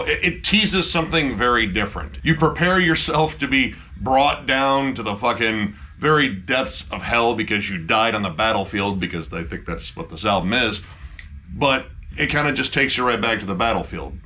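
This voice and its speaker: A man's voice: mid-range voice, normal speed, bright, forceful tone. A man co-hosting a casual album review chat, emphatic and swearing freely.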